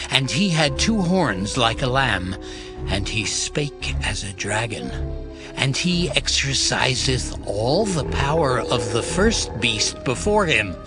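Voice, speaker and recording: A man narrating a dramatized scripture reading in a slow, solemn, deliberate manner. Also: mid-range voice. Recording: music bed underneath.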